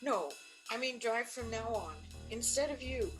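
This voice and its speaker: A woman's voice: fairly high pitch, normal speed, faint music behind, close to an everyday voice. An older woman playing a firm, practical, exasperated but loving wife in an audio play, matter-of-fact and insistent. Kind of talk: audio play stage acting.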